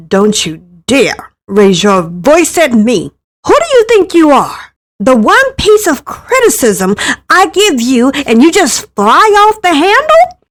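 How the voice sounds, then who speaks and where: A woman voicing a stern, critical middle-aged mother with a sharp, indignant, lecturing manner in a visual novel story. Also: high voice, very animated, slow pace, voice pushed up, heavily acted. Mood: angry scolding.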